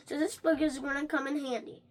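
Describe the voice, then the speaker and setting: A high, female-sounding voice reads a children's book aloud for a read-along. The delivery is animated and a little halting, with stumbles and restarted phrases.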